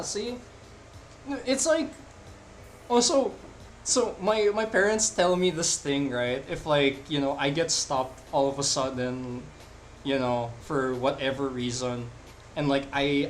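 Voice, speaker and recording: A young man from the Philippines talks loosely to his viewers while drawing, stringing thoughts together with fillers. His voice sits a little on the high side, with a faint hiss of background noise under it. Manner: rambling, filler-heavy, chatty with viewers. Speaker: live-drawing streamer. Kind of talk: casual live-stream chat while drawing.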